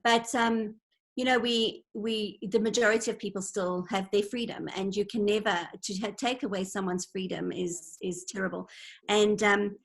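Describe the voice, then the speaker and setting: Earnest woman with a gravelly edge. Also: medium pitch, fairly even intonation, moderate pace. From Zimbabwe. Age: 40s. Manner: earnest, reflective, storytelling. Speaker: interview guest. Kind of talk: casual conversation. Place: online video call. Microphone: video call.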